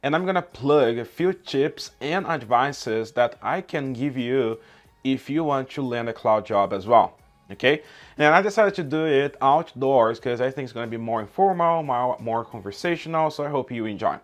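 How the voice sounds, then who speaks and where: A man's voice: medium pitch, expressive, moderate pace, faint music behind. A man from Brazil whose first language is Portuguese, hosting a YouTube channel and talking informally outdoors, often checking in with "okay?" and "right?". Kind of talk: casual outdoor vlog talk.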